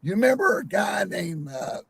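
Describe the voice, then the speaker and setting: A hoarse man with a cold calling into a live sports chat, rambling and hesitant with many fillers. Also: high voice, very animated, moderate pace, shouting. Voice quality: gravelly, husky. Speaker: caller on a live panel stream. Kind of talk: casual call-in chat.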